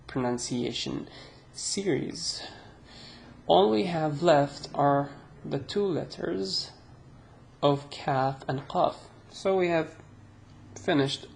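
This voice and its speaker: Slow, gravelly-voiced Arabic-accented man teaching. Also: a little high, a little hiss or hum. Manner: slow, chatty, self-deprecating, drawn-out sounds. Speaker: language teacher. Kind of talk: casual online language lesson.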